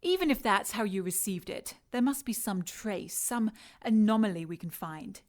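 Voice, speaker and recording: An actress playing a scornful, scheming immortal villainess who talks with mocking condescension in an audio drama. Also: female voice, mid-range voice, expressive, moderate pace, a little mellow, close to an everyday voice.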